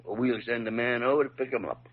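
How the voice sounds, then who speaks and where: A man acting an older senior hospital doctor in a 1940s radio drama, gruff but fair, speaking in a halting, folksy, emphatic way. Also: mid-range voice, lightly acted. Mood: businesslike. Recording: dull old radio recording.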